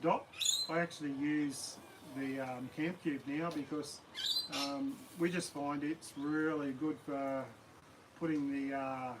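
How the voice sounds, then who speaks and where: Australian man, slightly raised pitch, croaky, rambling casual chat, noisy outdoor background. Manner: rambling, relaxed, many ums and uhs. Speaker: camping channel host. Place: backyard outdoors.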